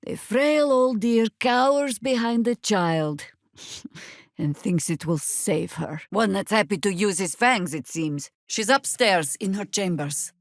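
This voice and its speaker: A woman playing a stern, wry older female druid warrior in a video game, speaking in a dry, measured, sardonic way. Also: medium pitch, dry recording. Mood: dry, commanding. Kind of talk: scripted video game character lines.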